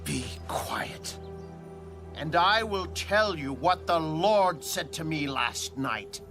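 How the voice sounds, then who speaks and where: Stern prophet for a Bible audio drama: grave, very measured rebukes, music underneath. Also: male voice, on the high side, animated. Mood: stern, accusing. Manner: solemn, measured, accusing. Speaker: actor.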